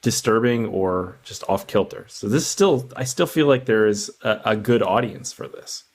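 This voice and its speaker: A man's voice: fairly low pitch, moderate pace. A man guesting on a movie podcast, chatting casually with jokes and some swearing. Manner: joking, casually profane. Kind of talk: casual movie review chat.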